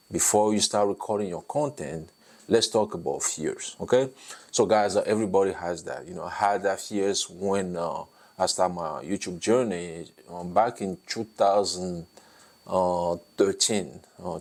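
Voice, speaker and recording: A man's voice: low-pitched, darkish tone. A middle-aged man with a non-native English accent coaching beginners in a talking-head video, encouraging and repetitive, with many fillers and "okay?" tags. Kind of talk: talking-head tutorial to camera.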